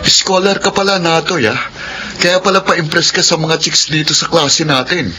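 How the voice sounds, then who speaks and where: A man's voice: on the high side, normal speed, music in the background, natural voice. An actor playing a mischievous young male classmate with a Filipino accent in a radio drama, mocking and teasing. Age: young adult. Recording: noisy radio drama recording.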